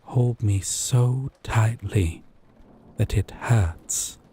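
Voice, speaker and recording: A man playing a gentle, obsessive, possessive lover in an intimate romantic roleplay, speaking haltingly and tenderly. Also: on the low side, heavily acted. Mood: pleading, yearning. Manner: slow, halting, tender, breathy pauses. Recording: rain and thunder ambience behind. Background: rain, thunderstorm.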